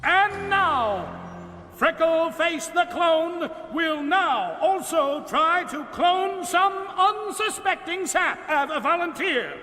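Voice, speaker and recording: An actor in a radio play voicing a grand, slyly menacing circus ringmaster with showy, drawn-out announcing. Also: male voice, very high-pitched, animated, heavily acted. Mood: teasing, suspenseful. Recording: long echoing hall, loud music.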